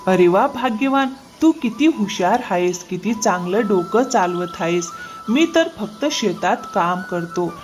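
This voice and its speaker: A woman voicing a greedy, deceitful farmer man in a moral-story cartoon, flattering and easily flustered. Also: mid-range voice, a little slow, slight background noise, close to an everyday voice. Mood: delighted flattery.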